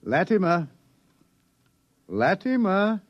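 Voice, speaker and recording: An actor with an American accent playing a grim, authoritative scientist in a 1950s radio drama, lecturing with deliberate emphasis. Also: male voice, high voice, big pitch swings, heavily acted. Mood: ominous explaining. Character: grim, authoritative male scientist-doctor, coolly ominous. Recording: dull lo-fi old radio recording.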